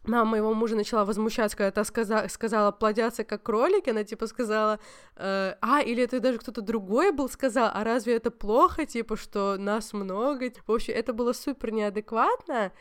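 A young woman with a smooth, clear voice, sitting a little high in her range. She tells stories in a lively, conversational way, pausing often on small fillers as she talks. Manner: animated storytelling, many fillers. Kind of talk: casual chat.

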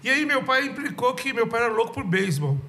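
A man, a podcast guest telling stories in a casual, animated way, laughing often and using many fillers. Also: very high voice, fast. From Brazil. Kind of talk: casual chat, storytelling.

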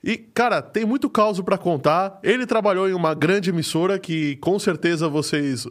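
A man's voice: high voice, normal speed. A Brazilian man hosting a podcast in a casual, informal, joking and slangy way. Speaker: podcast host. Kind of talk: casual chat.

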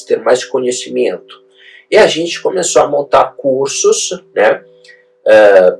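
A man from Italy with an Italian accent, hosting a live webinar in a casual, halting way with frequent fillers. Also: fairly low pitch, creaky, poor audio. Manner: frequent tag questions, hesitant fillers. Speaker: host.

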